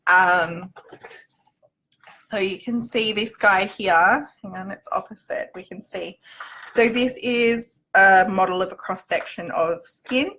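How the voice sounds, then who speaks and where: A woman's voice: mid-range voice, takes it slowly, pushed and bright, poor audio. A woman with an Australian accent giving an informal livestream explainer as a company representative, in a chatty, loosely structured way.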